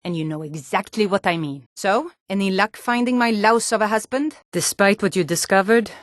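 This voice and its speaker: An actress voicing plain-spoken Nord townswomen, guards and traders in scripted fantasy video game dialogue. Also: female voice, medium pitch, moderate pace, dry recording, studio quality, natural voice. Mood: scornful, bitter.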